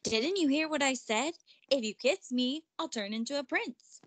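A woman's voice: sits high, lively intonation, normal speed, no echo. A woman voicing a young frog prince, haughty and insistent, in a storybook reading. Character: princely young male frog, pompous and pleading.

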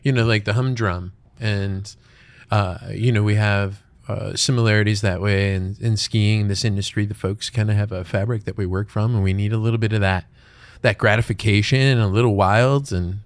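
Low-voiced man, relaxed reflective host. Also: moderate pace, few pauses, no echo. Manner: relaxed, reflective, loose phrasing. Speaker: podcast host. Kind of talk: casual interview conversation. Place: empty restaurant dining room. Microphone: studio mic on boom arm.

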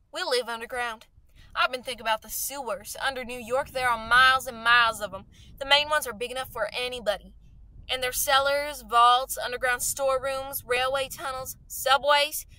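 A woman's voice: high voice, steady tone, moderate pace, bright, forceful tone, no room sound. A woman playing a fervent, scheming artilleryman survivor in a radio play, talking in an insistent, slangy, folksy way. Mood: feverish scheming.

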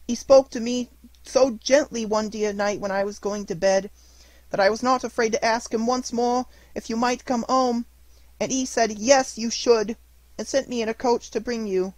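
A man's voice: extremely high pitch, fairly even intonation, normal speed, no echo, voice pushed up, poor audio. A man voicing an eager, gleeful little girl who drops her h's, in a dry recording.

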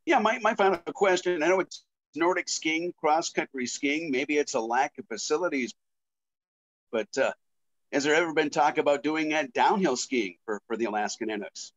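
A male radio reporter with a fairly high voice asks his final question. The sound is dry and close, and he stumbles a little as he talks. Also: normal speed, a bit bright, no echo, poor audio.

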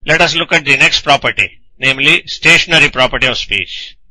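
A man's voice: medium pitch, brisk, pushed and bright, dry recording, poor audio. A man narrating a scripted technical lecture in a didactic manner, posing questions and then answering them.

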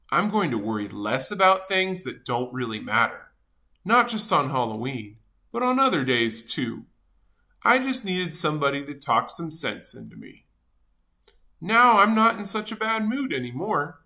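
A woman's voice: low-pitched, pushed and bright, pitched below the performer's own voice, heavily acted. A woman voicing a grumpy, dramatic but good-hearted male sunflower seed in a children's storybook, with theatrical, self-important delivery. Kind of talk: animated children's storybook read-aloud.

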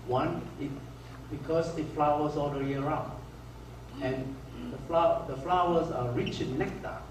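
A man from Malaysia giving a conference lecture over slides, speaking haltingly with many restarts and fillers. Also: on the high side, fairly even intonation, background noise. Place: lecture hall. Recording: echoey hall recorded from far off.

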